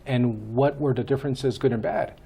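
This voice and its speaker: A man in his fifties from Peru, answering interview questions as a guest in a measured way with many hesitations. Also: mid-range voice, gravelly, mellow, slightly rough. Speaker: guest, school board candidate.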